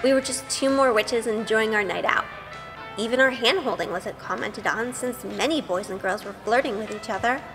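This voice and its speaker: A woman playing an earnest, sweet young witch heroine who narrates an audio drama in a gentle, measured way. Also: on the high side, big-room sound, music in the background, close to an everyday voice, rough recording. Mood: reflective.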